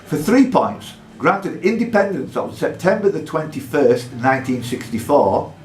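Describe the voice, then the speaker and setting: A man working as a quiz master, reading buzzer questions aloud in a deliberate way and announcing point values between clues. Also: fairly high pitch, big pitch swings. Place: pub room with contestants. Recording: echoey room with faint background noise.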